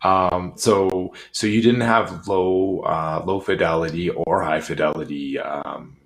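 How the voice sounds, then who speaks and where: Deep-voiced easygoing male host. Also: fairly even intonation, slow, vocal fry. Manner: easygoing, clarifying questions. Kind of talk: casual moderated chat. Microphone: video call.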